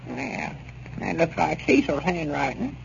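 Creaky, high-voiced Southern old grandpa. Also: male voice, big pitch swings, measured pace, exaggerated voice. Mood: friendly. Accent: rural Southern US. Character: crotchety, folksy old country grandpa. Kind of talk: 1930s radio comedy serial acting. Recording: dull, noisy old 1930s radio recording.

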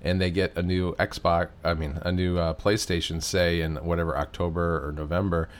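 A man's voice: low voice, level tone, normal speed. A man co-hosting a podcast, talking in an easygoing, conversational way with some ums, stuffed up from a cold. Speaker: podcast co-host. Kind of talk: casual podcast chat.

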